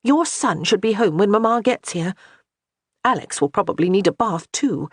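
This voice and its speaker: A woman voicing a flustered young noble wife, rattled and bossy, in a husky voice. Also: expressive, moderate pace, no echo, studio quality. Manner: rattled, bossy, high-strung.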